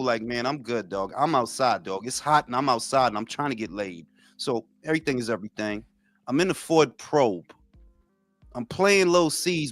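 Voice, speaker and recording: A man in his forties from New York, co-hosting a casual talk show and telling loud, profane stories. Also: mid-range voice, dry recording. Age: 40s. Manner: animated, profane storytelling. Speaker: podcast co-host. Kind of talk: casual chat.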